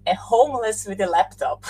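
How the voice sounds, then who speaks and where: A woman from Austria with a slightly husky voice talks at a middle pitch. She answers questions in a loose, chatty way. She laughs now and then and often circles back to restart a thought. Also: lively intonation, moderate pace, warm tone, rough recording. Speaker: podcast guest. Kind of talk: casual interview chat.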